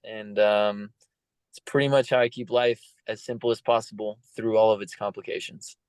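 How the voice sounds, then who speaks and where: A man's voice: fairly low pitch, little pitch movement, normal speed, clear, resonant tone, no room sound. A man in his thirties from the United States, talking earnestly and reflectively as a podcast guest, with many fillers. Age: 30s. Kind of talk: casual interview talk.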